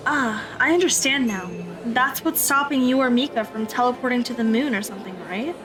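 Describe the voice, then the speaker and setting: A woman's voice: fairly high pitch, fairly quick, close to an everyday voice. An actress playing an earnest teenage girl who is new to magic, asking plain, curious questions in an audio drama. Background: background music.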